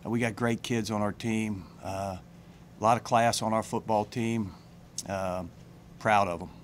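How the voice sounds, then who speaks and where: Middle-aged man, football coach, postgame presser, plain halting talk, table mic, faint room hiss. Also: on the low side, fairly even intonation. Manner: plain-spoken, halting with many fillers and restarts. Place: press room with branded backdrop. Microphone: table mic on a stand.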